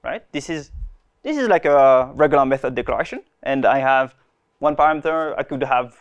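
A young man presenting a technical talk at a conference, pausing and restarting often as he explains. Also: mid-range voice, dull audio. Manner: hesitant, many restarts and fillers. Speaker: conference speaker. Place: conference room.

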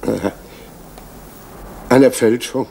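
A man's voice: mid-range voice, steady tone, drawn-out words, creaky, darkish tone, a little hiss or hum. An actor playing a nervous, apologetic male postal clerk giving a statement in a radio crime drama. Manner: hesitant, apologetic.

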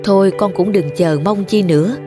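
A woman voicing a kindly, resigned elderly village mother in an animated folk tale, persuading in a measured way. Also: mid-range voice, over music, close to an everyday voice.

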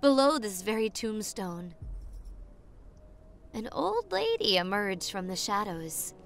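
Slow-spoken, sweet popular girl, acted by a woman. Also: medium pitch, very animated, gravelly, a little hiss or hum, exaggerated voice. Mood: hushed, suspenseful. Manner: soft, intimate, giggly, telling a spooky story slowly. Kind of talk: ASMR roleplay.

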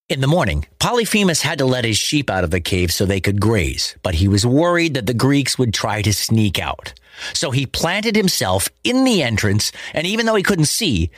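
Animated male storyteller. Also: medium pitch, dry recording, clean studio sound. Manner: dramatic, playful. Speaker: storyteller, host. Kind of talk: scripted children's myth narration.

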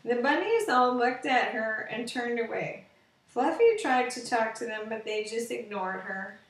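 Female storyteller, thirties, fairly high voice, bedtime story read for kids, slightly roomy home recording. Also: measured pace, a little hiss or hum. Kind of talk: children's bedtime story read aloud, slow simple narration. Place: living room with sofa and window, indoors. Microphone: webcam at about arm's length or more. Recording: roomy, distant webcam sound.

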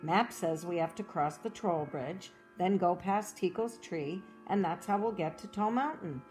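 A woman reading a children's storybook aloud as a cheerful young girl explorer, in a gentle, encouraging way that asks the listener questions. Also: lowish voice, a little slow, soft background music, natural voice, rough recording. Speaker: storybook reader.

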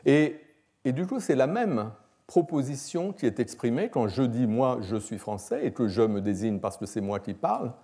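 Male academic lecturer, 60s. Relaxed dark timbre, measured explanatory delivery with hesitations. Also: medium pitch. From France. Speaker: philosophy professor. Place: lecture hall, at a lectern.

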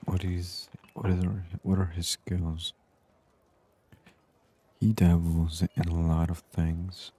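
A man doing soft-spoken ASMR game commentary, murmuring and unhurried as he reads aloud. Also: deep voice, flat delivery. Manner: murmured, unhurried, reading on-screen text aloud. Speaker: gaming channel host.

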